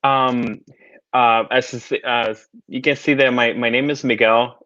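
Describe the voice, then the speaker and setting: A man in his thirties speaks as an interview guest at a middle pitch, often stopping to restart his words and filling gaps with ums. The recording is dry and dull, like a video call. He talks in a loose, casual way. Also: level tone, slow pace, sharp tone, no echo. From United States, raised partly in the Caribbean. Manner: hesitant, many restarts and fillers. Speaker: guest, tarot artist.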